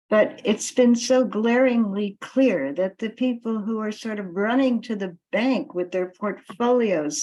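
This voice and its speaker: A woman activist giving an informal campaign talk, speaking in deliberate, halting phrases with emphatic stresses on key words. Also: mid-range voice, darkish tone, poor audio.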